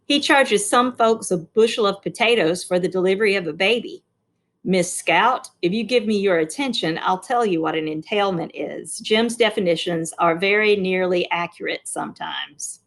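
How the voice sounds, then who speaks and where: A woman reading the part of a calm, wry father who is a country lawyer in a storybook. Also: medium pitch, normal speed, natural voice.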